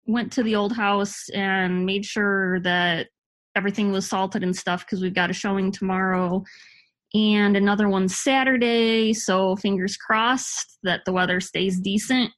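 A woman's voice: medium pitch, steady tone, measured pace, a bit bright. A tired woman, chatting casually as a participant in a group call. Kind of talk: casual chat.

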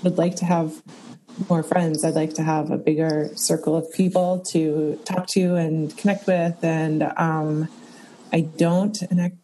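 A woman's voice: sits low, level tone, unhurried, dark tone, slight background noise, low-quality recording. A woman in a group session sharing something personal, hesitant and searching for words, over a connection that cuts in and out. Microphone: unstable call connection.

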